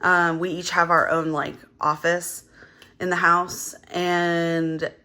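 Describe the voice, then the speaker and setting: A young woman chatting casually as she counts cash for her budget, her voice a little gravelly and hoarse from just waking up, rambling with lots of fillers. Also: fairly low pitch, little pitch movement, slow, sharp tone. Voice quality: gravelly early-morning voice. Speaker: budgeting vlogger.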